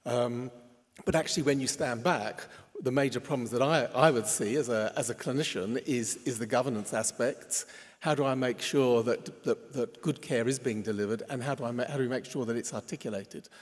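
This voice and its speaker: Halting male clinician on a panel. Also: medium pitch. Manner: halting, with many repeated words. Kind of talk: panel discussion. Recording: reverberant hall.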